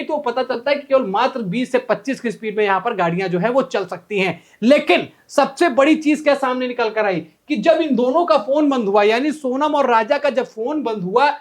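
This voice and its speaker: A news presenter giving an informal explainer commentary, talking to viewers conversationally as friends. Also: mid-range voice.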